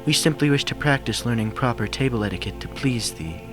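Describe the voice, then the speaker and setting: Man voicing a formal, halting pony princess, pitched up. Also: animated, natural voice. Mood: hesitant, apologetic. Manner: formal, archaic, halting royal speech. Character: regal female pony princess, archaic speech, earnest and awkward. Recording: music behind the voice.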